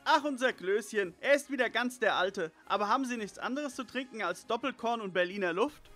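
A woman playing a cheeky, excitable young detective sidekick in an audio drama parody. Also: a little high, a little fast, soft background music, close to an everyday voice.

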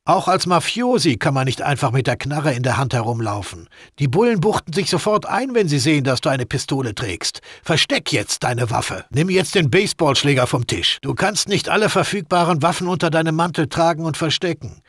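A man's voice: fairly high pitch, expressive, a little fast, hardly any pauses, a bit bright, slightly rough, no echo, clean studio sound. A man voicing a matter-of-fact, middle-aged mafia weapons man in a video game, with an instructive, streetwise manner.

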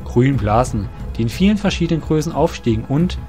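A man tells a fantasy story as its narrator. His voice sits at a middle pitch and his phrasing is formal and bookish. Quiet music runs underneath. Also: expressive, fairly quick.

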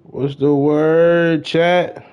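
A man's voice: fairly high pitch, flat delivery, extremely slow, clear, resonant tone. A young American man chatting casually on a gaming livestream, slangy and repeating his greetings to viewers. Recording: slightly dull, lo-fi stream mic.

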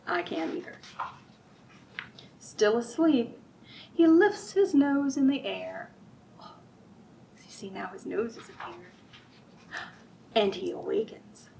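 Woman, middle-aged, children's librarian, storytime delivery, fairly high pitch, slightly distant mic in a library room. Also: lively intonation, slow pace, gravelly, pauses often, a little mellow, a little hiss or hum. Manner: asks the listener frequent simple questions. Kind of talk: children's storytime read-aloud and chat.

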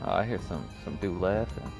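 A man's voice: low-pitched, expressive, a little fast, vocal fry, over music. A man bantering casually and teasingly in character in a role-play game.

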